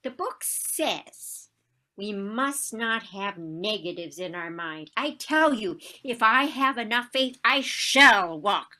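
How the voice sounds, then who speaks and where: An actress playing an excitable older society lady and diet faddist, gushing and theatrical in a stage comedy over a video call. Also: medium pitch, slow. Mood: emphatic.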